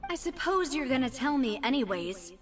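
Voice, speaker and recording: A woman's voice: sits high, big pitch swings, normal speed, music in the background. An actress playing a determined young noblewoman investigator in a video game, speaking earnestly and pointedly in pursuit of justice. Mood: resigned. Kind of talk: dramatic video game dialogue.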